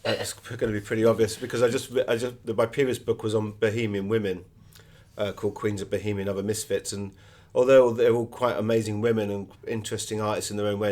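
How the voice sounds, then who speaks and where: A man's voice: lowish voice, darkish tone. A middle-aged British man being interviewed as an author, telling anecdotes in a conversational way.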